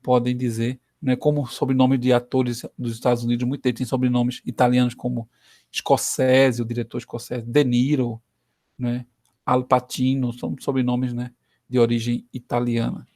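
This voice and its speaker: A Brazilian man teaches history in a relaxed, conversational tone, tagging questions onto his sentences to keep students with him. His voice sits at an ordinary middle pitch. The recording is dry and close, with no room sound. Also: moderate pace, no room sound. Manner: conversational, frequent "né" tag questions.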